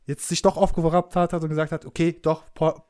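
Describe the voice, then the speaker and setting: A man's voice: a little high, flat delivery, a little fast, darkish tone, smooth, no echo, studio quality. A man hosting a podcast, joking and playful in casual chat. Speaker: podcast host.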